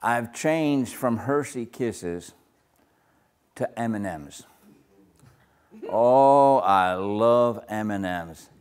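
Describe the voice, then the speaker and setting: An older man with a naturally loud voice, leading a Bible study group discussion in a casual, talkative way. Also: on the low side, very slow, pauses often, smooth. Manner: loud, gets louder when excited.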